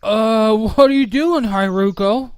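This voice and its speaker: A man playing a kindly, reassuring inventor with a gentle, folksy manner in a scripted game roleplay. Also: high-pitched, takes it slowly, clear, resonant tone, no room sound. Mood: gently reassuring. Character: kindly, reassuring male inventor and household head. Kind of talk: scripted Minecraft roleplay acting.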